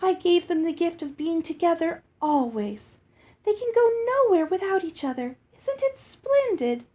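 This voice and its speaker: A woman's voice: very high voice, sing-song, moderate pace, mellow, pitched above the performer's own voice. A woman voicing a vain, beautiful storybook fairy in a musical, lilting, gushing and self-satisfied way. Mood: gushing, defiant. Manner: gushing, breezily defiant. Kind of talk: acted children's storybook reading.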